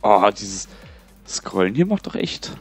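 A man's voice: fairly low pitch, very animated, slow pace, husky, faint music behind. A young man with a cold, casually rambling and muttering to himself as he plays a game for his channel. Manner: chatty, rambling, muttering to himself while playing. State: has a cold. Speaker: gaming channel host. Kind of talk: casual let's play commentary.

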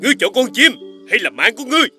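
Cartoon mandarin: greedy bully, very high voice, wildly swooping delivery, loud music underneath. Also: male voice, very animated, rapid-fire, full character voice. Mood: angry, threatening. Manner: domineering, commanding. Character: greedy, bullying male mandarin, adult, imperious. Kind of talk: animated fairy-tale dubbing.

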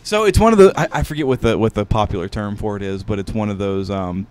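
A man's voice: lowish voice, fast, a little mellow, clean tone. A man telling a story in a casual, comedic podcast style, rambling and wry, close to a studio microphone. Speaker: podcast co-host. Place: small indoor podcast studio. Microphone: close studio microphone with headphones.

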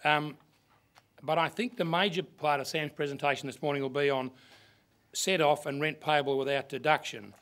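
A man's voice: fairly high pitch, fairly even intonation, normal speed, a bit bright. An older man with an Australian accent, a clerk giving a practical, plain introduction at a podium. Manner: practical, plain-spoken, with fillers. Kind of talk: seminar introduction. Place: conference room. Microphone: podium microphone.

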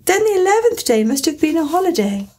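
Woman doing a high-voiced, polite little girl. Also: sing-song, fairly quick, a little mellow. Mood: eager. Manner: eager, polite, hesitant.

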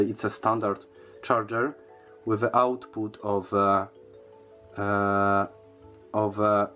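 A man giving a casual unboxing and product review in a halting, hesitant way, often repeating words. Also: mid-range voice, fairly bright tone, muffled recording.

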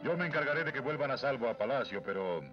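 A man voicing a calm, righteous young warrior hero in a Latin American Spanish cartoon dub, firm and earnest. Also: mid-range voice, quick pace, close to an everyday voice. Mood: resolute. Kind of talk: 1980s cartoon hero dubbing. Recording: dull old cartoon soundtrack with music.

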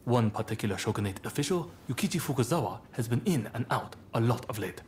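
Guy voicing a young samurai leader in a game, courteous and composed, really expressive pitch, earnest, bit of background noise. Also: lightly acted. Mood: wry, measured. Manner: polite, measured, earnest. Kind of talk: video game cutscene acting.

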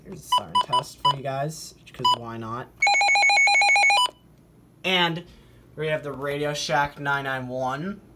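A man giving a casual, halting show-and-tell as a hobby vlogger, thinking aloud behind a handheld camera at home. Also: medium pitch. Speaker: hobby vlogger showing a weather radio collection. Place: indoor room at home. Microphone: handheld camera or phone, behind the lens. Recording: noisy amateur home camera recording.

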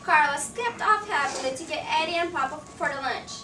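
Woman reading out a puppet show story, high voice, noisy echoey room. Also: a little fast, far from the mic. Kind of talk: scripted children's story narration.

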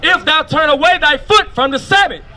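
Man, high bright voice, reads scripture aloud, measured, announces chapter and verse, outdoors. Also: a faint crowd. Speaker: scripture reader. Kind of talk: reading Bible verses aloud. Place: outdoors on a city street corner.

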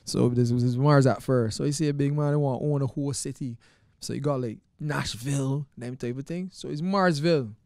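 A Barbadian man, a soca artist, chatting in an interview in a small studio, loud and animated, breaking into chants of his song. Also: medium pitch, fairly quick, no room sound. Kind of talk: casual interview chat with bursts of singing. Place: small studio with green lighting, seated on couch. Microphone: handheld mic.

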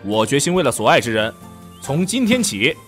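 Flustered young prince regent, played by a man, wildly swooping lowish voice, stammering costume radio drama, reverberant with music. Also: very animated, fairly quick, close to an everyday voice. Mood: solemn. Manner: stammering, theatrical, exasperated. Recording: echoey reverb with music behind.

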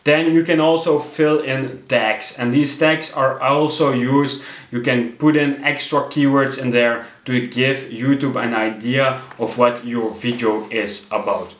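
A man's voice: mid-range voice, steady tone, measured pace, a bit bright, roomy sound. A young man from Belgium with a Belgian accent, hosting a talking-head tutorial from his desk and explaining with many fillers and restarts. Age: young adult. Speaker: channel host. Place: small home office room, indoors at a desk. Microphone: fixed camera at desk distance.

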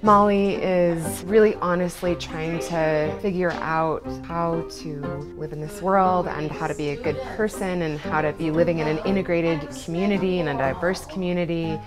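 Woman, 30s, stage actor, low pitch, interview delivery, music bed. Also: steady tone, moderate pace, hardly any pauses. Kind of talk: promotional interview about her character. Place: indoors in a theatre. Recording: music behind the voice.

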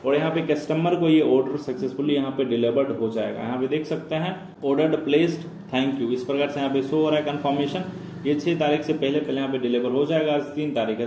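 A man talks viewers through an online ordering tutorial step by step. His voice sits at a middle pitch and keeps a friendly, instructive tone. It was recorded in an echoey room with a faint noise bed behind it. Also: a little mellow. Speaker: tutorial presenter.

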